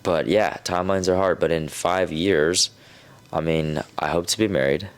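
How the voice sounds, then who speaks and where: A man's voice: low voice, steady tone, normal speed. A man in his twenties speaking as a podcast guest, talking reflectively in casual chat. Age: 20s. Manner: reflective, thoughtful.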